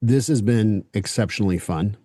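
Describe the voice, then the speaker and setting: A low-voiced man with a French Canadian accent hosts a relaxed podcast chat. He speaks bluntly and swears now and then, in a French speaker's English. The sound is dry and close, with no room echo. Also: normal speed. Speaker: podcast host. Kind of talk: casual interview chat.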